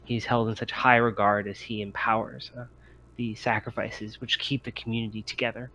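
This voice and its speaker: A man voicing a gushing, reverent cult guide in a tabletop game, earnest and halting. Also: fairly low pitch, level tone, sharp tone, a little hiss or hum, rough recording.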